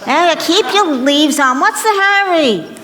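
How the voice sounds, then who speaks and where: A woman's voice: extremely high pitch, lively intonation, takes it slowly, long reverb tail, noisy background, exaggerated voice. A woman playing a brash, grumpy, wisecracking substitute storyteller in a comic children's skit. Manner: sassy, exasperated, theatrical reading.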